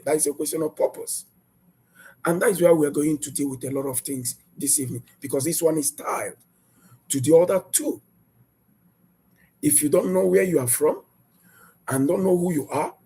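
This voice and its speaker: A man preaching a sermon emphatically, repeating rhetorical questions and jumping into sudden high bursts of emphasis. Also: warm tone, slightly rough.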